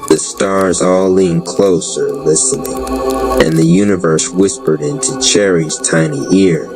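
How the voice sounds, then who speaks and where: A man with a very low voice narrates a scripted story over clear background music. He takes his time, speaking slowly, with a wry sense of drama. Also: fairly even intonation. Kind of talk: scripted spoken-word narration.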